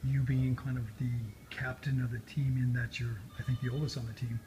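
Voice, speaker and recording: A man interviewing a cyclist, friendly and quick to laugh, asking long questions full of fillers. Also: mid-range voice, flat delivery. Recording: noticeable background noise.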